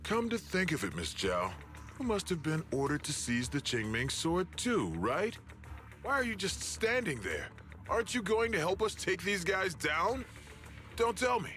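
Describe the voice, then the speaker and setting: A man's voice: high voice, sharp tone, heavily acted. An actor voicing a scheming, arrogant male corporate enforcer who taunts and threatens in a game cutscene. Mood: taunting. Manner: mocking, taunting.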